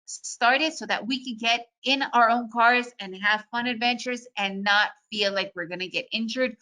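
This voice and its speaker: A woman raised in the United States with Russian as her first language, giving a chatty, energetic webinar talk on trading. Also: fairly high pitch, moderate pace. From Soviet Union, raised in the United States. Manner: energetic, chatty, addresses audience as guys. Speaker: trading educator presenting a webinar. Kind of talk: casual webinar presentation.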